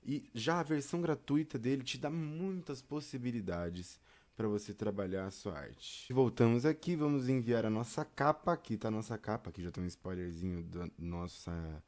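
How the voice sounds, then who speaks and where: A man's voice: fairly low pitch, normal speed, a little mellow. A man with a Brazilian accent giving an informal step-by-step video lesson in a chatty, encouraging way, addressing learners directly. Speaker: video lesson instructor on podcasting.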